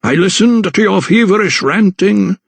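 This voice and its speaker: A man's voice: high-pitched, lively intonation, creaky, breathy edge, dry recording, pitched above the performer's own voice, exaggerated voice. A man voicing a sinister, condescending elderly vicar, a religious fanatic, in an audiobook. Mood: smugly fervent. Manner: slow, sanctimonious, unctuous. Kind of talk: dramatised audiobook character dialogue.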